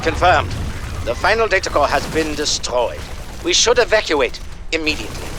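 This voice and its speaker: Formal scientist with swooping intonation. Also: male voice, fairly high pitch, sing-song, moderate pace, background noise. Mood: brisk. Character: composed, learned male scientist advising a commander. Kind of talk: video game dialogue.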